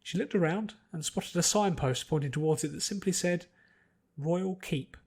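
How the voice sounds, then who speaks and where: A man's voice: sits high, brisk, a little mellow, slightly rough. A man reading scripted fantasy fiction aloud as its narrator.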